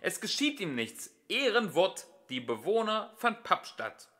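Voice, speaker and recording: A man's voice: high voice, sing-song, a little slow, bright, forceful tone, voice pushed up, heavily acted. A man voicing a formal announcer of public notices in a children's audiobook, declaiming emphatically. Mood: solemn appeal.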